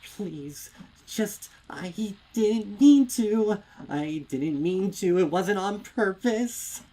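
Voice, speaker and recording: A man voicing a distraught, enraged young female pony in a dark story reading, pleading and breaking down. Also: mid-range voice, expressive, very slow, pitched above the performer's own voice, exaggerated voice. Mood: sobbing, pleading.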